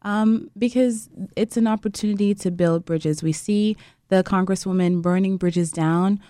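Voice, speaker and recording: A woman's voice: medium pitch, measured pace, dark tone, dry recording, clean studio sound. A woman from Jamaica, a congressional candidate, speaking firmly as a radio show guest. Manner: firm, campaign-speech delivery. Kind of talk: political interview by phone or studio line.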